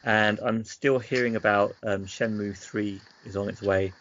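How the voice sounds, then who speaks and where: A man's voice: fairly low pitch, steady tone, unhurried, a bit bright. A man co-hosting a podcast, chatting casually about games in a hesitant, rambling way. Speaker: podcast co-host. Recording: dull lo-fi sound with faint noise.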